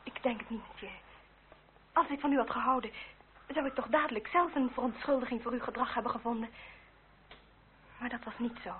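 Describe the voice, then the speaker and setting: An actress plays an earnest young lady of the French nobility in a radio drama. She speaks in a high voice, politely but directly, with a pleading candour. The recording is thin and phone-like, with clear noise. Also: brisk, pauses often, close to an everyday voice. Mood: subdued, candid. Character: earnest, well-bred young French noblewoman, candid and pleading. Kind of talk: old radio play acting. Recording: tinny, noisy old radio recording.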